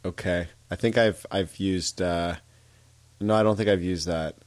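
A man's voice: sits low, fairly even intonation, unhurried, dry recording. A man co-hosting a podcast while congested, chatting in an easygoing way with short agreeing replies. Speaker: podcast co-host. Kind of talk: casual chat. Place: home, Vancouver.

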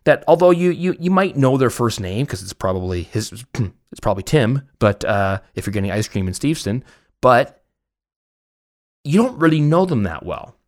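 A man's voice: mid-range voice, very animated, normal speed. A man with a Canadian accent hosting a podcast, chatting in a casual, rambling way with a joking, self-mocking manner. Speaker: podcast host. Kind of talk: casual, rambling personal chat.

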